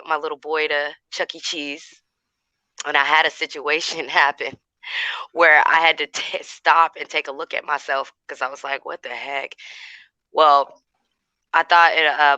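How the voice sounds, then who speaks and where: A man's voice: a little high, clean tone, dry recording, lo-fi sound. A man in casual chat who tells stories with energy and acts out what people said. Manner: animated storyteller, acts out quotes. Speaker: co-host.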